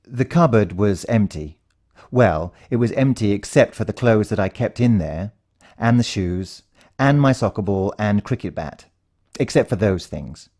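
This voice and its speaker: A man's voice: lowish voice, lively intonation, unhurried, a little mellow. A British man narrating a first-person story in a dramatic, suspenseful way. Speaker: audiobook narrator.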